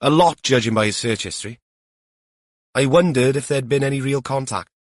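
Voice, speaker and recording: Man acting a junior detective, eager, curious, plain-spoken, lifted pitch, dry audiobook recording. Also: fairly quick, dry recording, natural voice. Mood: curious, blunt.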